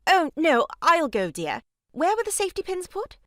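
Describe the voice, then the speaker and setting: A woman's voice: very high-pitched, wildly swooping pitch, moderate pace, no echo, clean studio sound, heavily acted. An actress playing a self-important, condescending mother in a theatrical audio farce, politely competitive in manner. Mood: fussy insistence.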